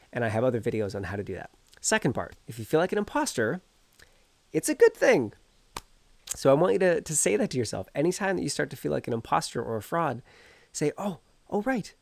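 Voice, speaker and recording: A man with a stuffed-up nose from a cold talks straight to his viewers in an earnest, encouraging coaching tone. His voice sits at an ordinary middle pitch. The sound is dry and close, with almost no room around it. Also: a little mellow, no room sound. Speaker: energy healer and coach. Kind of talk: encouraging self-help video talk. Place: outdoors.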